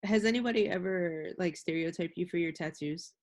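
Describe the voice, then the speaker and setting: A woman's voice: on the low side, brisk, darkish tone. A woman in a casual podcast conversation, talking bluntly with slang and swearing. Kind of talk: casual group chat.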